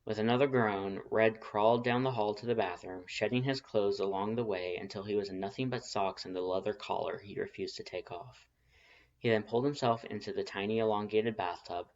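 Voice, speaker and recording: Low-key story narrator on a dull recording. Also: brisk, a little mellow. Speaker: fan fiction narrator.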